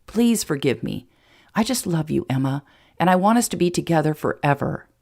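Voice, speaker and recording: A woman's voice: low voice, lively intonation, normal speed, gravelly, dark tone, exaggerated voice. A woman voicing an earnest, lovestruck, plain-spoken small-town sheriff in an audiobook romance, fond and a little exasperated. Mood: apologetic, earnest. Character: earnest, blunt, lovestruck adult male sheriff turned rancher.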